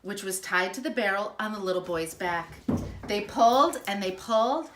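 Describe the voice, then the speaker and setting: A woman reading a children's storybook aloud in an expressive, emphatic storytelling manner. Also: medium pitch, normal speed, noisy background. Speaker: school principal reading aloud.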